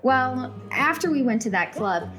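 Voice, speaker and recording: A woman's voice: high-pitched, animated, measured pace. Frazzled, wry single woman, music behind. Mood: exasperated. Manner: wry, exasperated. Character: frazzled, self-mocking single woman venting about bad exes. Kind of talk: radio play acting.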